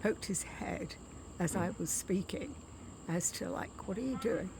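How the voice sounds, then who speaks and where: A middle-aged woman with a scratchy voice giving a casual spoken update outdoors, softly, with frequent throat clearing and ums. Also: mid-range voice, unhurried. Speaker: vlogger. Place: outdoors at her condo, quiet yard with birds.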